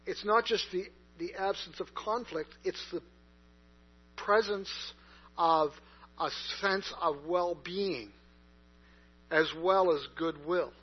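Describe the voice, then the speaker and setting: A man's voice: sits high, slow, long pauses, husky. A man preaching a sermon in a conversational way, with many fillers and restarts. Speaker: pastor. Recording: dull lo-fi recording with mains hum.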